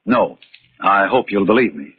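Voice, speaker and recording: Grave, articulate 1940s radio amateur sleuth. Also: male voice, sits low, animated, normal speed, breathy edge. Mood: controlled. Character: earnest, level-headed male murder suspect turned amateur sleuth. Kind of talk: 1940s radio mystery acting. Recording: muffled, noisy 1940s radio recording.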